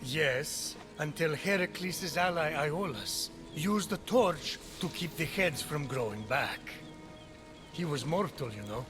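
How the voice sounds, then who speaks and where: A man's voice: on the high side, animated, takes it slowly. A man playing an eloquent, dry-witted storyteller who narrates a myth in a video game. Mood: grand. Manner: grandiose narration, sardonic asides. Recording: music under the voice.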